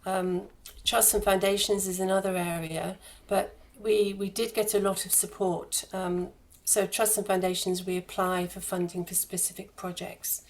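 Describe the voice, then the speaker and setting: A woman giving explanatory interview answers as a charity guest, in halting phrases with repeated words. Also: mid-range voice, level tone. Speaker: guest, charity head of fundraising and communications.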